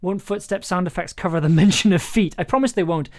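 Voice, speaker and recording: A woman chatting casually on a livestream as she writes aloud, halting and repeating herself as she thinks. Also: fairly low pitch, a little mellow. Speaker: audio drama writer and live streamer. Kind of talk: casual livestream chat while writing aloud. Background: music.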